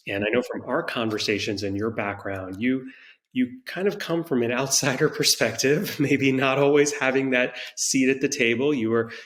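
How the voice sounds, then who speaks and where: A man's voice: medium pitch, fairly bright tone. A man hosting an interview podcast, who frames his questions in a thoughtful way and often restarts his phrases. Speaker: podcast host. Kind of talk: interview conversation.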